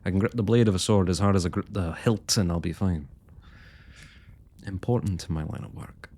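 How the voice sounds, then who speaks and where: A man's voice: sits low, brisk, a little mellow. A man playing a young royal blacksmith in an intimate fantasy roleplay, speaking to the listener in courtly, hesitant, old-fashioned phrasing. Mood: frank, teasing. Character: young male royal blacksmith, smitten, forthright, proud working man.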